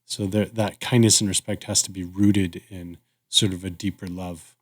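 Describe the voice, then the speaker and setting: Male podcast host, lowish voice, thoughtful and measured delivery with pauses between phrases, for reflective conversation. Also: flat delivery. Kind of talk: reflective discussion.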